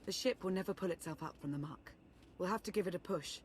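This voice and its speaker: A male actor voices a calm, earnest sci-fi hero for a video game. His voice is notably high, composed and resolute, with slight noise in the background. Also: quick pace, lightly acted.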